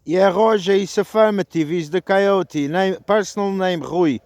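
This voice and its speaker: A man's voice: high voice, moderate pace, a little mellow, dry recording. A man making radio contact as a CB operator, speaking hesitantly and repeating himself. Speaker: CB radio operator testing an antenna. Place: outdoors in a park.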